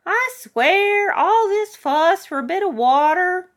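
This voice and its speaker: A woman's voice: very high voice, lively intonation, slow pace, voice pushed up, heavily acted. A woman voicing a stubborn, sarcastic elderly woman with a snappy, dismissive manner in a story reading. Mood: defiant. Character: stubborn, feisty, sarcastic elderly woman, tiny cat lover. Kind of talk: audiobook character voice.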